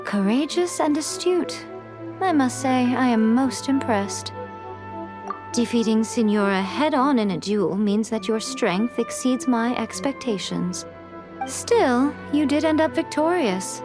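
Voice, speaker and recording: A woman's voice: a little high, expressive, a little slow. A woman playing an elegant, worldly shrine priestess in a video game, poised, refined and playful. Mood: playful, amused. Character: sly, elegant, teasing shrine priestess, worldly and self-assured. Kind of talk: video game cutscene acting and narration. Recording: music behind the voice.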